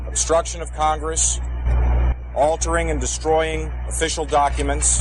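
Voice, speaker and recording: Formal American man, slightly high-pitched. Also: a little hiss or hum, rough recording. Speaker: official making a public statement. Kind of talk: formal prepared statement.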